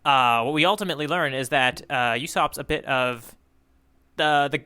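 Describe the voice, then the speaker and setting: A man's voice: mid-range voice, normal speed, bright, forceful tone, clear, resonant tone. A man co-hosting a podcast, casually recapping a story in a rambling, self-correcting, hesitant way. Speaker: podcast co-host recapping manga chapters.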